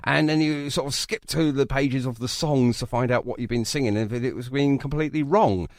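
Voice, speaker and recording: A man's voice: medium pitch, a little fast, no echo, clean studio sound. A middle-aged man hosting a chatty music interview, talking in an enthusiastic, rambling way. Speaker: radio and podcast host.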